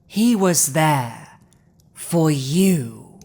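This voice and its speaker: A woman plays a commanding female dragon in an intimate audio drama. The voice is very low for a woman and unhurried, with weighty pauses that give each phrase a dramatic, imposing gravity. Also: lively intonation, mellow, heavily acted. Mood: accusing, intense. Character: villainous commanding female dragon, intense yet softening to compassion. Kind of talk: dramatic ASMR audio roleplay.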